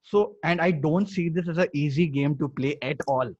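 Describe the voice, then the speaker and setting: A man's voice: fairly high pitch, normal speed, a little mellow. A young man with an Indian accent hosting a podcast in a casual, enthusiastic, rambling way, on slightly dull audio. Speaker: podcast host. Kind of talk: casual conversational interviewing.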